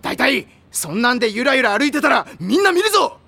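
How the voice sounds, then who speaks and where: Loud high school boy, very high pitch, sharp forceful tone, animated, brash, easily spooked, anime drama. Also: quick pace, a little hiss or hum, full character voice. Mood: exasperated shouting. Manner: brash, blustering, rough junior slang. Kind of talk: anime audio drama acting.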